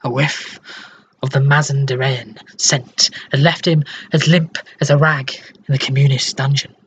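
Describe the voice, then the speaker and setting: A man playing a dying, lovesick, tormented man in a dramatic monologue, halting and breathy, often sinking toward a whisper. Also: medium pitch, little pitch movement, a bit bright, natural voice. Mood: grim, confiding. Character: dying, lovesick tormented man confessing his tender, morbid obsession. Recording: dull, slightly noisy home recording.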